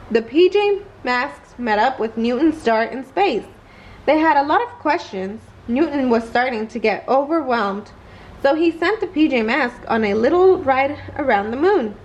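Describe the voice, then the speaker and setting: A woman reading a children's story aloud in an expressive bedtime read-aloud style. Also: high voice, dull audio. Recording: clear background noise.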